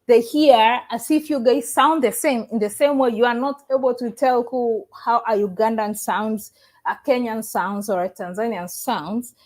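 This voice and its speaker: A woman from Africa, with a voice pitched a little above the usual, hosts a casual live stream from her Caribbean home. She reads comments aloud and talks right to her audience. She often stops partway through a sentence and starts it again. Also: normal speed, darkish tone. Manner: chatty, restarts sentences, addresses viewers directly. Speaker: YouTuber livestreaming and reading chat. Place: living in the Caribbean.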